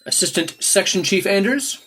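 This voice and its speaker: Man playing a lively, superior section chief. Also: high voice, moderate pace, husky, soft background music, heavily acted. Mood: smugly brisk. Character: brisk, superior, fitness-obsessed male section chief. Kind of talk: audio comedy acting.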